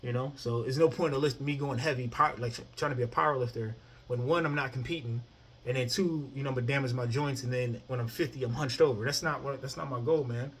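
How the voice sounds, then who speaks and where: A man's voice: mid-range voice, fairly even intonation, fast talker, slightly rough. A man in his thirties talking casually to the camera about his own fitness routine, often asking "you know what I mean?". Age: 30s. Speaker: fitness vlogger.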